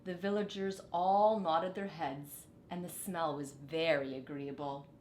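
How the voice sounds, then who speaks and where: A woman reading a children's story aloud with expressive, dramatic phrasing. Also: unhurried. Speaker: storybook reader. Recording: echoey room, faint low rumble.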